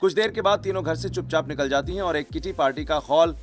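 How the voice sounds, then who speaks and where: Higher-pitched man narrating a cartoon story. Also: fast. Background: background music.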